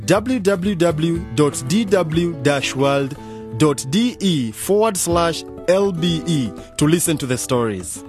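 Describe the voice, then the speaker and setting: A man's voice: on the high side, animated, slow pace, music in the background. A man presenting a scripted radio programme promotion.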